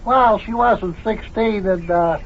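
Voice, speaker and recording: Folksy old-radio tall tale teller, high voice, rambles on, dull lo-fi sound. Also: male voice, takes it slowly, slight background noise. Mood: rambling, amused. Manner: drawling, rambling, folksy ungrammatical speech. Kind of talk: old-time radio comedy acting.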